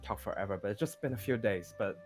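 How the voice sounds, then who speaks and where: A man's voice: fairly low pitch, quick pace, a little mellow, lightly acted. A man playing an easygoing young confidant in an improvised game roleplay, talking in a casual, rambling, friendly way. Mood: relaxed, tired. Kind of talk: improvised Minecraft roleplay acting. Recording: music behind the voice.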